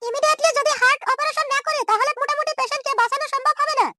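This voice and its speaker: Man as a squeaky, brisk cartoon nurse woman. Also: fairly even intonation, sharp tone, dry recording, studio quality, soft background music, heavily acted. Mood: urgent, explaining. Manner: explaining insistently. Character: brisk, insistent female hospital nurse. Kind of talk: amateur comedy cartoon dubbing.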